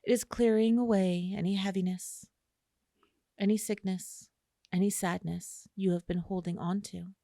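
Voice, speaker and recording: A woman guiding a listener through an imagery meditation in a slow, soothing way, with long pauses. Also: medium pitch, steady tone, vocal fry, dark tone, no echo, studio quality. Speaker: meditation guide. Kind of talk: guided imagery meditation narration.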